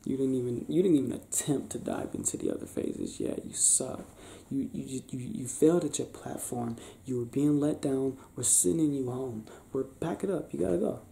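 A young man doing an affectionate ASMR boyfriend roleplay, soft-spoken, halting and playful, as if chatting in bed. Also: mid-range voice, sing-song, vocal fry. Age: young adult. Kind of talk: ASMR boyfriend roleplay, improvised intimate chat. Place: small indoor bedroom, lying on a bed. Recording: roomy bedroom sound with faint hiss.

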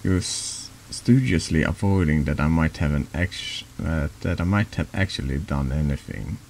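A deep-voiced man reading horror game dialogue aloud in an ASMR-like style, halting and stumbling over words now and then. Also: vocal fry, a little mellow, slight background noise. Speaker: streamer reading a text adventure game aloud. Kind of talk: slow narrated read-aloud of horror story dialogue, ASMR-like.

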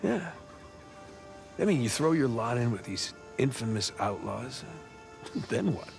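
Game role: wry American everyman adventurer, middle-aged, skeptical younger brother, conversational delivery with lively swings in pitch. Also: measured pace, vocal fry, over music. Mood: playful, musing. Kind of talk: naturalistic cinematic game acting.

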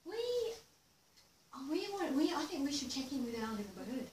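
A woman's voice: sits high, big pitch swings, a little mellow, slight background noise. A middle-aged woman leading children's movement exercises, telling a playful story, filmed by a far camera in a small room. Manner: playful storytelling, slow and drawn-out. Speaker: movement teacher. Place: small furnished room indoors. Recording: roomy sound from a distant camera.

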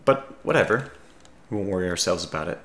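A man with a scratchy voice giving casual strategy game commentary, calmly thinking aloud as he analyses his options. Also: low voice, brisk, reverberant. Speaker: gaming video host.

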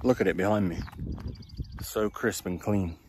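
A man's voice: low-pitched, normal speed. A man in his thirties talking casually to his viewers as a vlogger, in an informal, chatty, repetitive way. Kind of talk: casual vlog commentary to viewers. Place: outdoors in open countryside and inside a car. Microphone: phone camera. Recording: noisy outdoor and in-car phone recording.

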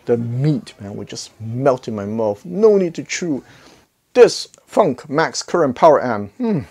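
A man's voice: mid-range voice, big pitch swings, unhurried, darkish tone, breathy edge. A middle-aged man hosting a casual gear review to camera, conversational with jokey asides and rhetorical questions. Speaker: audio gear reviewer, video host. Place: indoor home listening room. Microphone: clip-on lapel mic.

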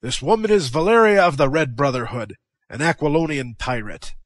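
A man voicing a gruff barbarian adventurer who speaks bluntly and forcefully. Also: on the high side, animated, normal speed.

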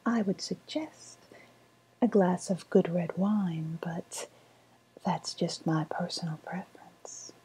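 A woman playing a sly, cooing woodland witch with a faint menace, in a breathy, softly spoken ASMR roleplay whisper. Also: fairly low pitch, full character voice, low-quality recording. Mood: savouring, confiding. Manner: slow, savouring, coaxing delivery.

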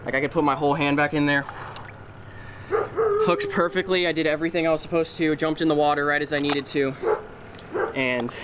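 A young man vlogging outdoors while wading in water, excitable and out of breath, talking into an action camera mic with outdoor noise behind him. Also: fairly high pitch, a little fast, pauses often. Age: young adult. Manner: excitable, exclaiming loudly at catches. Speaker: fishing vlogger. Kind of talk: excited outdoor vlog narration.

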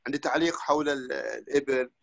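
A man's voice: medium pitch, fairly even intonation, fast, creaky, no room sound. A man calling in to an online lecture, asking a question and searching for his words. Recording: dry, lo-fi online call audio.

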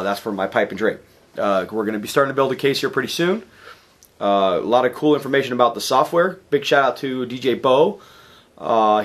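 A man in his forties, hoarse from a cold, giving a rambling, casual video update from his garage workshop. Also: medium pitch, fairly bright tone. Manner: rambling, chatty, lots of fillers and restarts. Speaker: DJ vlogger. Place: home garage workshop, indoors.

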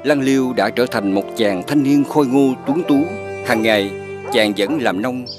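A man narrating an animated fairy tale in scripted storytelling style. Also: medium pitch, moderate pace. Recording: music behind the voice.

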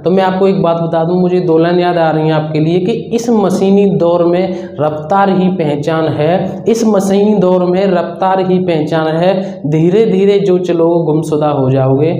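High-voiced young man, echoey room. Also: fairly even intonation, moderate pace, few pauses, dark tone. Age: 20s. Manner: repetitive, earnest advising. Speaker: exam-preparation coach and video host. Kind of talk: informal explainer talk to students. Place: small indoor room with whiteboard. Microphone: clip-on lapel mic. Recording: echoey room, dull muffled sound.

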